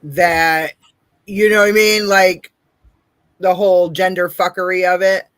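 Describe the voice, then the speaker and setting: A woman with a deep voice hosting a casual interview, blunt and profane, laughing often. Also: fairly even intonation, measured pace, a bit bright, no room sound. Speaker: podcast host.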